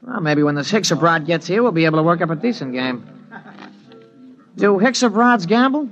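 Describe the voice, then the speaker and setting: A man's voice: fairly high pitch, lively intonation, a little fast. An actor playing a brash, curious young spaceman with a cocky hot temper in an old radio drama. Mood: teasing. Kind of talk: mid-century radio drama acting. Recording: muffled old radio recording with music.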